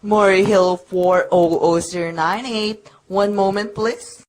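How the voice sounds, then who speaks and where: Woman acting a slow, formal telephone operator. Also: mid-range voice, fairly even intonation, a little mellow, a little hiss or hum, natural voice, rough recording. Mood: polite, formal. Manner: formal, procedural. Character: polite, unhurried, formulaic female telephone operator. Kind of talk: radio drama acting.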